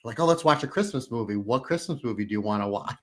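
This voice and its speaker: Slightly hoarse man, upbeat and rambling. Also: medium pitch, expressive, fast talker. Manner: rambling, upbeat storytelling with frequent restarts. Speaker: podcast co-host. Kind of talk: casual podcast chat.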